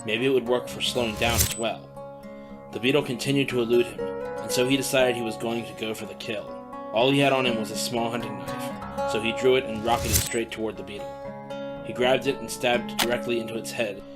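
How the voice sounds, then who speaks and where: A man narrating a fantasy audio drama in a steady, descriptive storytelling manner. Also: mid-range voice, a little fast, loud music behind the voice. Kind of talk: scripted narration.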